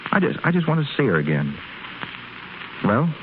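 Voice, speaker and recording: A man's voice: medium pitch, huge pitch swings, normal speed. An actor playing a young wealthy man who trained as a doctor, earnest and eager, with a slight stammer. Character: young wealthy man, former medical student, lovesick and eager. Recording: tinny noisy 1930s radio broadcast.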